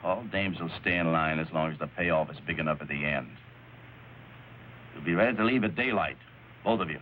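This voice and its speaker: Low-voiced hard-boiled crime boss, old film. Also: male voice, brisk. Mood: cool, businesslike. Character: cool, commanding middle-aged male crime boss. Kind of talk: 1940s film noir acting. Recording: noisy, dull old film soundtrack.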